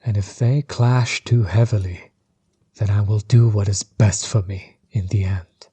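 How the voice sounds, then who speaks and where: A man playing a menacing, bitter sorcerer overlord in an audio roleplay, breathy and husky, measured and condescending, often sinking toward a whisper. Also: on the low side, fairly even intonation, mellow. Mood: threatening, resolute. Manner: measured, condescending, coldly taunting. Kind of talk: scripted villain monologue, audio roleplay.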